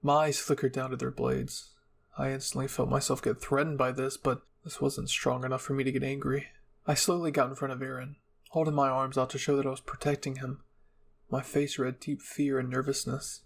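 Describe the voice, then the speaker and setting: Gravelly male story reader. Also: mid-range voice, little pitch movement, fairly quick, slight background noise. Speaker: fan fiction reader. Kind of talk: first-person dramatic story narration.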